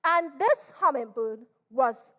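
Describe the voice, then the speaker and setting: A woman with a Trinidadian accent tells a folk story in a high voice. She takes her time, stretching and repeating words in a theatrical, staged style of dramatized storytelling. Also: expressive. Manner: theatrical, drawn-out delivery with repetition. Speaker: storyteller performing at a literature competition.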